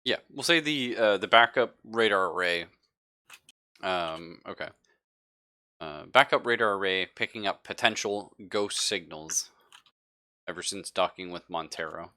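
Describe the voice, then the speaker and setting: A man's voice: sits low, lively intonation, unhurried, bright, forceful tone. A man improvising as game master of a tabletop roleplaying game, thinking aloud with some hesitation.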